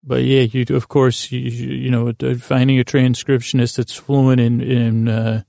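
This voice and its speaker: A man hosting a podcast in a halting, silly, absent-minded monologue, full of digressions, pauses and repeated words. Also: mid-range voice, flat delivery, gravelly, dark tone, no echo, studio quality. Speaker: podcast host. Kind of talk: rambling whimsical monologue meant to distract listeners.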